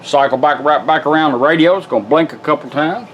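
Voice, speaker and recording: A man's voice: fairly high pitch, quick pace, dull audio, slight background noise. A man giving a casual, hands-on product demonstration, explaining controls step by step in a folksy, informal way. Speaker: product demonstrator, radio builder.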